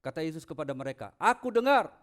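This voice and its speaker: Male pastor, high voice, emphatic sermon delivery that moves between quiet asides and loud proclamation. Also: big pitch swings, fast talker. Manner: rhetorical questions to the congregation. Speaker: pastor preaching. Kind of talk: sermon with scripture readings.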